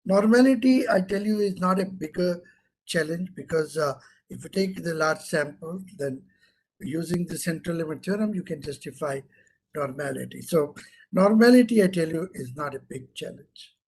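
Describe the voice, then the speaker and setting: A middle-aged man with an Indian English accent teaches an online class over a computer mic. His voice sits fairly high and a little husky, and he keeps quizzing students and repeating key terms. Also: expressive, moderate pace, darkish tone, low-quality recording. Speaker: professor lecturing online. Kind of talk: interactive online lecture.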